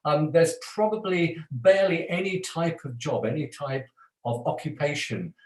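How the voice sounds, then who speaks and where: A man's voice: a little high, lively intonation, moderate pace, roomy sound, poor audio. A man teaching a live voice workshop as a voice coach, talking in a chatty, self-deprecating way and laughing often. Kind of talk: live online workshop talk.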